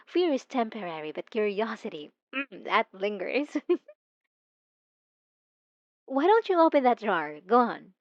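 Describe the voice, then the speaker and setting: A woman playing an obsessive, possessive young stalker in an ASMR roleplay, talking softly and intimately with teasing giggles. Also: on the high side, very animated, measured pace, pauses often, no echo, muffled recording. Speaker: narrator speaking to the listener.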